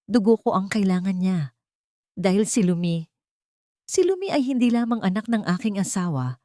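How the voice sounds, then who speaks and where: A woman's voice: mid-range voice, big pitch swings, normal speed, dark tone, no room sound, studio quality, voice pushed up, natural voice. A woman voicing a determined elderly grandmother who speaks firmly and gives orders. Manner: firm, commanding.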